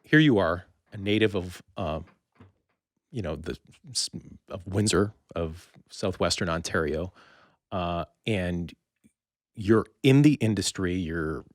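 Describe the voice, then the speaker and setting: Podcast host guy, low deep voice, rambly casual questions, really dry recording. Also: no echo. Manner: rambling, halting phrasing that circles back. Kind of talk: casual interview chat.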